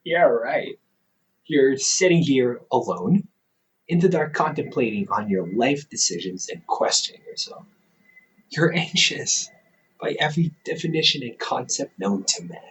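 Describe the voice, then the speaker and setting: A man performs an older brother in an intimate audio roleplay, a male scholar who teases gently and reassures. His delivery is unhurried and halting, with pitch that moves freely up and down. There is faint noise behind him on a hobby microphone recording. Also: lively intonation, low-quality recording. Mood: teasing. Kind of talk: intimate audio roleplay, one-sided conversation.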